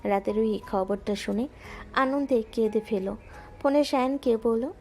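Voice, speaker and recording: A woman narrating a romantic audio story in a smooth, even storytelling voice. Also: fairly high pitch, normal speed. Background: soft background music.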